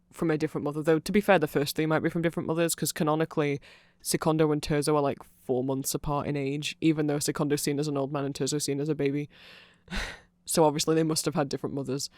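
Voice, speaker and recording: A man's voice: fairly high pitch, dry recording. A man giving a casual ASMR explainer, rambling along with nerdy enthusiasm. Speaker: ASMR audio creator.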